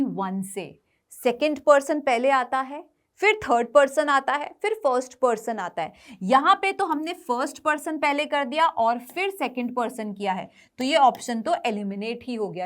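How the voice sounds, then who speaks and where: A woman's voice: on the high side, normal speed. A woman with an Indian accent giving an exam coaching lesson as an English grammar teacher, explaining by posing questions and answering them herself, switching between two languages.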